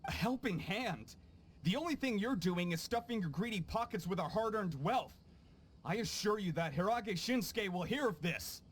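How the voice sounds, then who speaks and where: Aggrieved shopkeeper, high voice, hot-headed, indignant, video game character. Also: male voice, animated, normal speed, slight background noise. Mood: accusing. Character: fed-up, hot-headed male foreign merchant. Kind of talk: English video game dub acting.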